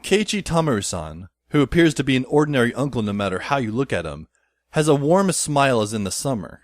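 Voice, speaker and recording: A man playing a wry high school boy who narrates an audio drama in the first person, musing as he goes. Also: very animated, a little fast, dry recording, studio quality, lightly acted.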